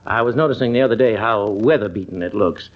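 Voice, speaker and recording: A man's voice: lowish voice, fast talker, natural voice. An actor with an American accent playing a wry, stubborn middle-aged doctor father in an old-time radio family comedy, dry and mock-patient. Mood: firm. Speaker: narrator and lead character. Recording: muffled old radio broadcast recording.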